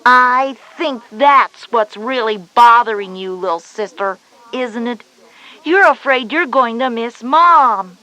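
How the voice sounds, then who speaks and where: High-voiced reassuring big sister. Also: female voice, animated, takes it slowly, bright, forceful tone, exaggerated voice, poor audio. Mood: gently probing. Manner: instructive, encouraging. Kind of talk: scripted children's educational audio drama.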